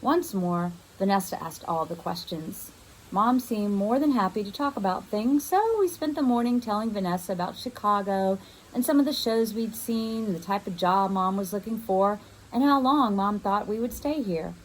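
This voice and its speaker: A woman reads a children's first-person novel aloud in an expressive storytelling style, as a teacher would. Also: medium pitch, moderate pace, a little hiss or hum, poor audio.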